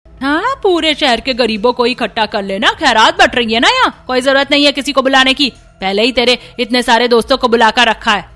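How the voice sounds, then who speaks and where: Scornful, snobbish mother voiced by a woman for animation, sharp sarcasm, higher register. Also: animated, fairly quick. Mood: angry sarcasm. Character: angry, snobbish mother of the neighbour boy.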